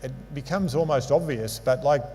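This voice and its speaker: A man's voice: mid-range voice, moderate pace, a little mellow. A man giving a conference lecture on sports medicine with slides, explaining concepts patiently and adding dry asides. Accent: non-local English accent. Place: lecture hall. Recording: hall reverb with mains hum.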